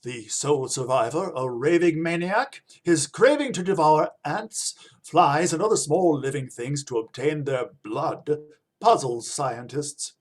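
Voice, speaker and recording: An actor playing a grave newsreader delivering a dramatic bulletin in a 1930s-style radio play. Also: male voice, a little high, measured pace. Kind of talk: 1930s news bulletin acting.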